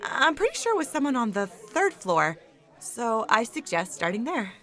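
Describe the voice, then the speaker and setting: A woman's voice: a little high, very animated, moderate pace, a bit bright, a faint crowd. A woman acting as a friendly, easygoing hospital staff member in an audio drama. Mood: friendly, casual.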